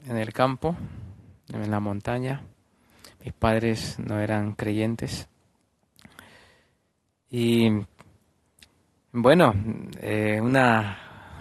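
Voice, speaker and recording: A man from Colombia's northern coast, with a Colombian coastal Spanish accent, a missionary preacher answering an interviewer in a measured way. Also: on the low side, no echo. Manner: slow, measured.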